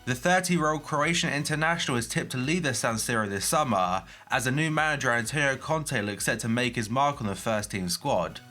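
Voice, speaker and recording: A man's voice: mid-range voice, fairly quick, few pauses, sharp tone, soft background music. A young man with a groggy, hay-fever voice, reading a football transfer news roundup with brief personal asides.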